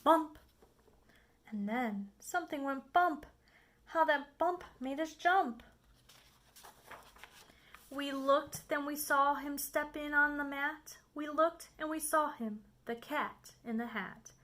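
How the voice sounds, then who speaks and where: A woman reading a children's story aloud as an expressive narrator, with emphatic, dramatic phrasing. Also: high voice, slow pace, long pauses. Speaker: storybook reader.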